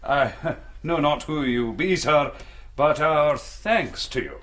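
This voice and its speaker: Swooping, pompous Scottish villager. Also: male voice, on the high side, animated, slow, a bit bright. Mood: wary. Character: sanctimonious, scheming village elder, villainous and blustering. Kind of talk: period radio drama acting.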